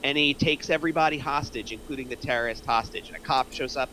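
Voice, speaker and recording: A man's voice: mid-range voice, fast talker, bright, forceful tone, low-quality recording. Man explaining points over room noise. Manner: explanatory, talks in examples. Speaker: script feedback panelist. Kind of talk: casual group critique of a screenplay.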